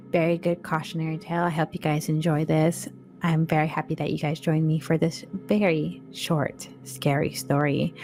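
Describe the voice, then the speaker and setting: Female read-along host, lowish register, informal and direct with the audience, light music bed. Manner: informal, addresses listeners directly. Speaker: podcast co-host and story reader. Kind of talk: read-along of a scary short story with chatty intro.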